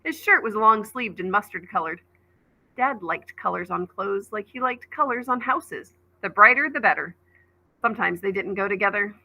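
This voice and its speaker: Bright-voiced woman reading a story aloud. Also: medium pitch, animated, moderate pace, vocal fry, low-quality recording. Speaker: teacher reading a children's novel aloud. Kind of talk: expressive storybook narration.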